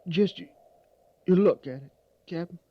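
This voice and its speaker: Dying, defiant soldier, gravelly and halting, old radio western with muffled sound. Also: male voice, high voice. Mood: pleading. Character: dying, defiant soldier, haunted ex-deserter seeking decency. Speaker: actor in a western radio drama. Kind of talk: 1950s radio western acting. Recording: muffled vintage radio recording.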